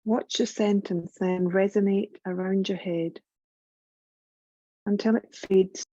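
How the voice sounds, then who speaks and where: A woman guiding a yoga relaxation meditation in a gentle, soothing, measured way, leaving long pauses. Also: medium pitch, steady tone, no room sound, muffled recording. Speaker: yoga nidra meditation guide.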